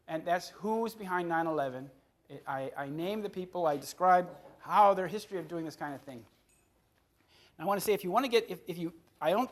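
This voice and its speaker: A man in his fifties from the Chicago area, an author giving a public talk to an audience, often repeating words and restarting his phrases. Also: high-pitched. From Chicago area, USA. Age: 50s. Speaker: author on a book tour.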